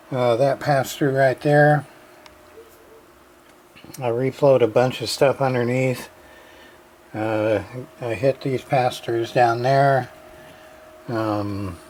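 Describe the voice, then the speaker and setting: Slow, rambling man at the workbench. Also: medium pitch, slight background noise, poor audio. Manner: rambling, halting, many fillers and restarts. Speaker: hobbyist radio repairer. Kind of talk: casual repair walkthrough.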